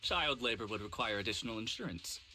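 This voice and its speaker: Hammy money-minded movie director in a game, swoopy delivery, very bright voice. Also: male voice, lowish voice, animated, fast, soft background music, rough recording. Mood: upbeat, coaxing. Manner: theatrical, salesmanlike. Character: enthusiastic, coaxing, money-minded male film director. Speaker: film director. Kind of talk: video game voice acting.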